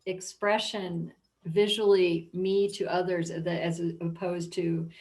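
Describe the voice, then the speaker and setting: A woman curator speaking reflectively and deliberately in an informal panel discussion. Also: lowish voice, steady tone, darkish tone. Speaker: art museum curator.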